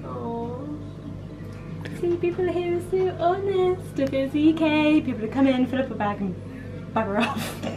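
A woman's voice: high-pitched, unhurried, music in the background, rough recording. A young British woman, a travel vlogger chatting casually to a handheld camera at arm's length outdoors. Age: young adult. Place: outdoors in mountain villages.

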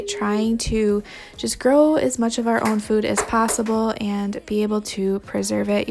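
A woman's voice: medium pitch, gravelly, a little mellow. A young adult woman giving casual vlog narration as a homemaker. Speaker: homemaking vlogger.

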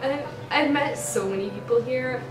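A woman's voice: high voice, moderate pace, background noise. A teenage girl speaking in an upbeat, persuasive way to the camera. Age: teens. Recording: roomy, distant camera mic.

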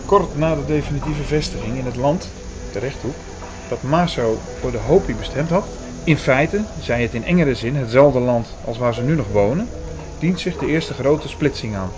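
A man narrating an audio drama in the first person, as an attentive, sceptical storyteller in a measured, bookish manner. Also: medium pitch, close to an everyday voice, rough recording. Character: Hugo, attentive, sceptical male listener and storyteller. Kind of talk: scripted first-person narration and reading aloud. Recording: music under the voice.

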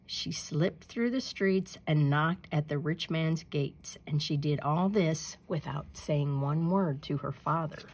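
A woman reading a children's folktale aloud in an expressive, deliberate storytelling manner. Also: low voice. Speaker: storybook reader. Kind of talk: scripted narration, children's folktale read aloud.

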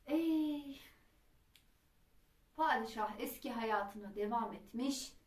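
A woman telling a children's folk tale in an expressive, dramatic traditional storytelling manner. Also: a little high, slow pace. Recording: roomy echo, distant microphone.

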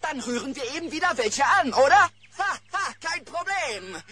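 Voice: high voice, very animated, normal speed, pushed and bright, a little hiss or hum, heavily acted. An actor playing an eccentric, laid-back artist bear with a breezy, theatrical manner in a children's cartoon audio drama.

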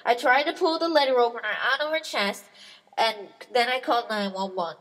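A woman's voice: fairly high pitch, a little fast, fairly bright tone, rough recording. A woman playing an earnest male office boss accused of a crime in an amateur radio mystery. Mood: earnest, worried.

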